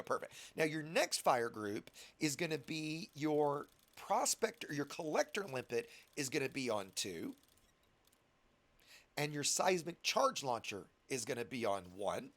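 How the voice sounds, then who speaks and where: A man's voice: fairly high pitch, fairly bright tone. A man with a scratchy voice from a cold, patiently talking a new player through game setup step by step in a casual tutorial. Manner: patient, chatty instructor addressing viewers.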